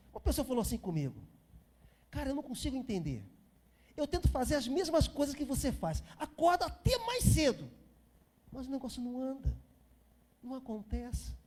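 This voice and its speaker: A man's voice: extremely high pitch, a little mellow, breathy edge. A Brazilian man in his fifties preaching an informal sermon as a pastor, repeating phrases for emphasis and chatting to the congregation. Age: 50s.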